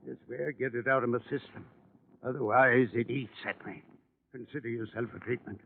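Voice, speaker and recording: An actor playing an irascible, fretful and ailing railroad president, blustering in 1950s radio drama style. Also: male voice, medium pitch, steady tone, a little fast, gravelly, narrow, tinny audio, a little hiss or hum, natural voice. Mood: irritable. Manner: agitated, blustering, ranting. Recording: vintage 1950 radio broadcast.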